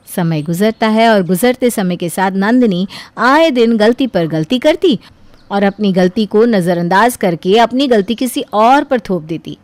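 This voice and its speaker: A woman's voice: fairly high pitch, darkish tone, no room sound. A woman narrator reading a scripted story.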